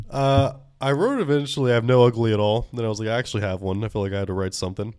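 A man's voice: mid-range voice, a little mellow, clear, resonant tone, dry recording, clean studio sound. A man in his twenties co-hosting a podcast, joking in a mock-serious way with many fillers. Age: 20s. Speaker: podcast co-host. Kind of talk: casual film review chat.

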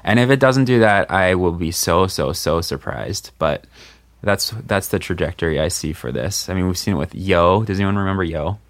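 Man, podcast host, very low voice, dry sound, casual rambling chat. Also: animated, moderate pace, dry recording. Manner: joking, rambling, many "like"s.

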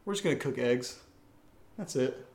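A man's voice: medium pitch, expressive, moderate pace, creaky, darkish tone. A man hosting a casual chat in a joking, informal manner. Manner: joking, self-deprecating. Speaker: podcast host. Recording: roomy, echoey live-stream sound.

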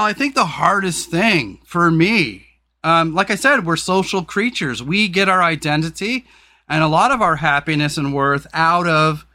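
A man hosting a casual podcast conversation, talking frankly and swearing freely, rambling with lots of fillers. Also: sharp tone. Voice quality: deep voice. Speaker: podcast host.

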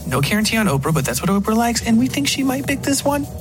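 An excitable woman literary agent, played by a man narrator, pitched well up from his natural voice. The delivery is gushing and chatty, rambling along in a fiction podcast with music underneath. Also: quick pace. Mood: excited, chatty.

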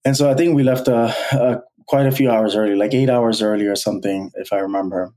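A man in his thirties from Bangladesh, a guest telling his life story in an earnest, halting way with many restarts. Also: fairly low pitch, steady tone, dark tone. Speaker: guest, college alumnus. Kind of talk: personal storytelling interview.

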